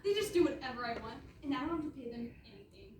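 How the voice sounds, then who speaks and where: A woman's voice: fairly high pitch, lively intonation, racing, darkish tone, lightly acted. A woman playing a cheerfully ruthless young princess in an offhand way, in a comic stage play. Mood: breezy. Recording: very echoey room, distant mic, noisy.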